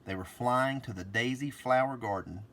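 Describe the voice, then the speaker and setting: A man's voice: medium pitch, moderate pace, fairly bright tone. A man reading aloud a children's storybook in a scripted narration. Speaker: storybook reader.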